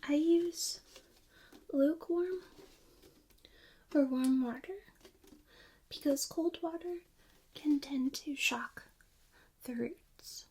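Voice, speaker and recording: Slow, whispering ASMR woman. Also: high-pitched. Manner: hushed, gentle, slow step-by-step instruction. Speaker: ASMR host demonstrating plant care in a role play. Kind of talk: ASMR, soft speaking and whispering. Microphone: close binaural microphone. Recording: faint background noise, binaural close-miked.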